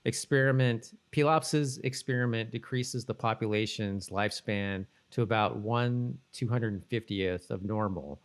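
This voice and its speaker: A man co-hosting a podcast in casual chat, reading out bits of trivia and thinking aloud in a halting way. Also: fairly low pitch. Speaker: podcast co-host.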